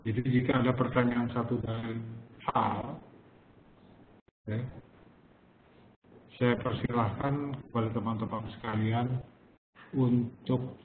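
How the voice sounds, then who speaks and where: A man hosting a live online trading webinar, talking slowly with many pauses and fillers and a slight cough. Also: medium pitch, little pitch movement, a little hiss or hum. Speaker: webinar host and forex educator. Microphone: computer mic over webinar. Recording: tinny phone-like webinar audio.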